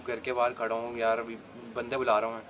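Young man, casual vlogger talk, average pitch, noticeable outdoor noise. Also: completely flat delivery, quick pace, a bit bright. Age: young adult. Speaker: vlogger making prank calls. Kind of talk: casual chat. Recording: noisy amateur outdoor recording.

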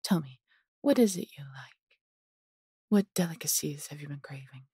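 A woman voicing a possessive, haughty yet doting female dragon in an intimate audio roleplay, condescending and theatrical, breathy and husky, often dropping to a whisper. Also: low voice, moderate pace, warm tone, dry recording, clean studio sound. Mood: apologetic, doting. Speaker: voice actor.

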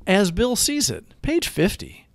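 Man reading aloud with very lively pitch. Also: a little slow, gravelly, dry recording. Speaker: narrator of daily recovery readings. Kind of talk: scripted read-aloud reflection.

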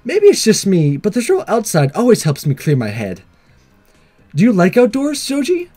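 A man plays a chirpy high school girl from a visual novel. The voice is pitched high and swings up and down with eager friendliness, over background music. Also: huge pitch swings, normal speed, warm tone, exaggerated voice. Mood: cheerful, friendly. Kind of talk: visual novel character read aloud.

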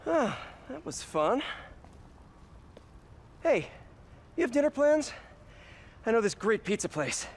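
A man's voice: very high-pitched, sing-song, slow, long pauses, slight background noise, exaggerated voice. An actor playing an upbeat, boyish, wisecracking young male superhero in a video game, chatty and eager. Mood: relaxed, wry. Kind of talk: video game cutscene acting. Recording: loud backing music.

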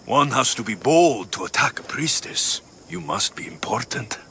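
A curt mercenary warrior. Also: male voice, mid-range voice, animated, moderate pace, fairly bright tone, slight background noise. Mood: wry. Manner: curt, demanding. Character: blunt male mercenary warrior. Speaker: game character. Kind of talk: video game dialogue.

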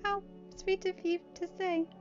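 A woman playing a gentle young storybook princess with a high voice. She is earnest, loyal and dutiful, pleading from the heart on a slightly muffled recording. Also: expressive, normal speed. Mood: affectionate. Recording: muffled lo-fi audio with music.

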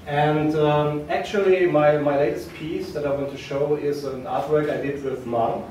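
A middle-aged artist with a German accent introduces his work in a loose, hesitant way, pausing on fillers and restarting his sentences. His voice sits slightly high. It is caught by a distant camera in a big echoing hall with faint ambient noise. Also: steady tone, a little mellow. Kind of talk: casual spoken introduction. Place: large art space, indoors. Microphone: far camera. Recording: very echoey room from far off.

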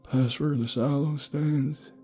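A man voicing a sickly, desperate bearded father in an audio story, slow and strained, pleading like one half crazed. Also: fairly high pitch, steady tone, mellow, faint music behind, voice pushed up. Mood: weak, haunted. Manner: slow, drawn-out, desperate cries and chants.

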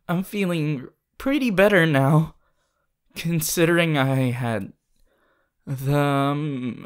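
A woman voicing a sweet, bashful, excitable teenage anime boy in an affectionate, halting ASMR roleplay addressed to the listener. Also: low-pitched, heavily acted. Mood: tender, relieved. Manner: halting, affectionate, with small hesitations.

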